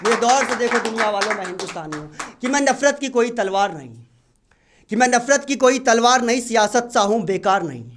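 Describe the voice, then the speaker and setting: Male open-mic poet with a very high voice, declaiming verse and repeating key lines. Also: lively intonation, brisk, background noise. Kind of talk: patriotic poetry recitation at an open mic.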